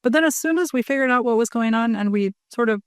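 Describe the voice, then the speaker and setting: Fast-talking earnest woman educator. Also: fairly high pitch, clear, resonant tone, no room sound, clean studio sound. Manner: fast, earnest, explains with examples. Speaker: guest educator. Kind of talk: conversational interview.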